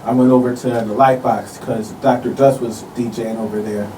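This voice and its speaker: A man's voice: medium pitch, fairly even intonation, brisk, a crowd in the background. An American man chatting casually as an interview guest, laid-back and peppering his talk with "you know". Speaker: guest, local performer. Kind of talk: casual chat. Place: radio studio. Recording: distant mic with faint room noise.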